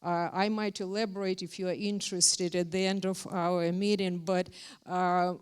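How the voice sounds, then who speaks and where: A woman's voice: lowish voice, flat delivery, normal speed, clear, resonant tone. A woman from Ukraine, speaking as a guest at a university talk in an earnest, emphatic way. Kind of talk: university lecture.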